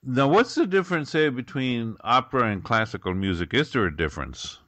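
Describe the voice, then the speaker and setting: A man's voice: mid-range voice, expressive, brisk, a bit bright, no room sound. A man hosting an interview show, asking questions in an upbeat way with some hesitations.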